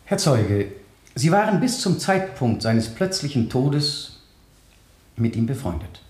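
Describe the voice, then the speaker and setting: An actor playing an official, insistent public prosecutor in a radio courtroom drama, speaking formally and emphatically. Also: male voice, mid-range voice, very animated, takes it slowly, darkish tone. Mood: probing, formal. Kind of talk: 1970 German radio drama acting, courtroom questioning. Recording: roomy vintage radio studio sound.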